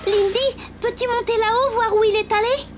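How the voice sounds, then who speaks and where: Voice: extremely high pitch, fairly even intonation, brisk, loud music behind the voice. A performer voicing a cheerful, childlike little wooden toy boy, eager to help, in a French children's cartoon dub.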